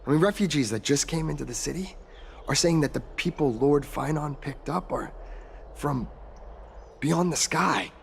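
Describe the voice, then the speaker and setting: Gravelly, inquisitive townsman. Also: fairly high pitch, normal speed, a little hiss or hum. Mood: curious, wistful. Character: curious male city dweller, eager believer in other worlds. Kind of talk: video game voice acting.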